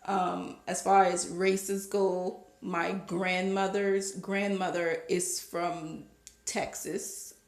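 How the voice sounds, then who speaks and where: A woman from Liberia giving her opinion in a casual monologue to camera, becoming more animated as she stresses her points. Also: fairly low pitch, extremely slow, gravelly, reverberant. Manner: opinionated, rising to animated emphasis. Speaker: vlogger.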